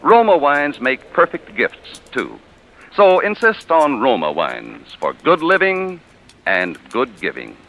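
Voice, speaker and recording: Male 1940s announcer, polished emphatic ad read, very lively pitch, bright tone. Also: slow pace. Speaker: sponsor announcer. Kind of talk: 1940s radio commercial. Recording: 1940s radio broadcast.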